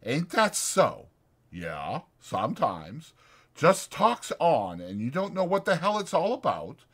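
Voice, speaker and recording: A man's voice: on the high side, takes it slowly, slightly rough, pitched above the performer's own voice, exaggerated voice. A man voicing a lonely, bitter, needling stable hand in a dramatized audiobook, with folksy, insistent, taunting talk. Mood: probing. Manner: insistent, taunting, folksy, drawn into excited speeches.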